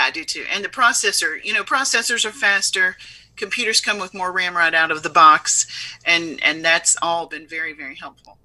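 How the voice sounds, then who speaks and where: An upbeat businesswoman pitches her product in a relaxed, chatty interview. Her voice is very bright and cutting, with no room echo around it. She talks in plain, homely comparisons. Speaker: CEO of a speech software firm, guest. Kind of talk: casual interview over video.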